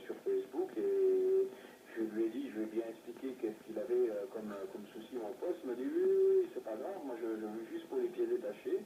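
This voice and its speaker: A man chatting casually over a radio link, speaking in a hesitant, halting way. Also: mid-range voice, phone-quality sound. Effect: heard through a radio receiver. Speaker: amateur CB radio operator. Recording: noisy distant echoey radio reception.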